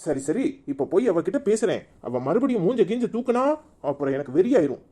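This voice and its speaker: Mellow, coaxing village husband played by a woman. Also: on the low side, sing-song, brisk, husky. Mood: exasperated. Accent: Kanyakumari Tamil.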